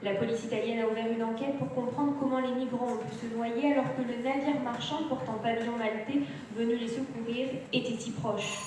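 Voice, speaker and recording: A woman's voice: a little high, fairly even intonation, brisk. Woman reading news in an echoey hall. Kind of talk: reading a news report aloud. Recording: noisy echoey hall, distant mic.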